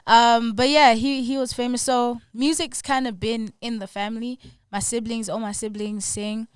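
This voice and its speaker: Smooth-voiced young woman. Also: a little high, moderate pace, no echo, studio quality. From South Africa. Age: young adult. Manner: relaxed, trailing, filler-heavy. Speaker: guest musician. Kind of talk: casual podcast interview.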